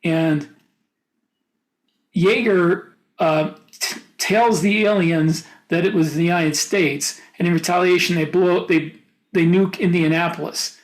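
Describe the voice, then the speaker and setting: High-voiced man chatting about books. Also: fairly even intonation, poor audio. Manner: opinionated, halting mid-thought. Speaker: podcast co-host. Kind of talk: casual book discussion.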